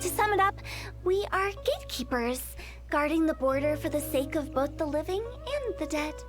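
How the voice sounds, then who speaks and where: A woman's voice: high voice, expressive, normal speed. A woman voicing a playful young female funeral parlor director in a video game, breezy and teasing as she explains things. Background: game music.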